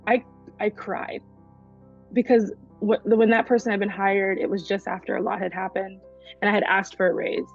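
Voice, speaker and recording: A woman talks casually about her art, loose and unhurried, full of fillers. The sound is a bit dull and muffled, with soft music underneath. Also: medium pitch, vocal fry. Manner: relaxed, uses many fillers like "like". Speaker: visual artist. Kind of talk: casual interview talk.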